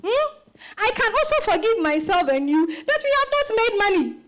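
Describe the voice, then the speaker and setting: A woman playing a sharp-tongued old grandmother with a Ghanaian accent in a dramatized reading, scolding imperiously with many interjections. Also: very high-pitched, very animated, moderate pace, noisy background. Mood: wry.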